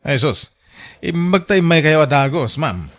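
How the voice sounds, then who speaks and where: Casting: actor as courteous Ilocano male traveller, radio drama, animated delivery, dry studio sound. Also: on the high side, measured pace, no room sound. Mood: polite.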